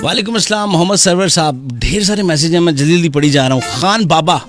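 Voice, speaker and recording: Joking Punjabi-accented Pakistani man, music behind. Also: fairly high pitch. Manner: joking, mock-serious, teasing; switches between languages mid-talk. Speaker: live radio call-in show host. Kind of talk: playful live radio banter with comic monologue.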